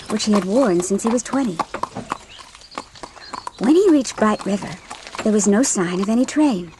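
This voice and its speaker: A woman's voice: on the high side, darkish tone, a little hiss or hum, rough recording. A woman narrating a dramatised audiobook from a script, telling the story in literary prose.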